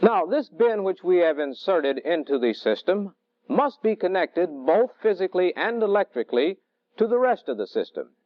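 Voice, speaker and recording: A middle-aged man lecturing as a military technical instructor in a deliberate, didactic way, on a vintage training film soundtrack. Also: high voice, sing-song, no echo, dull audio. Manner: deliberate, didactic, measured phrasing. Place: indoor training film studio.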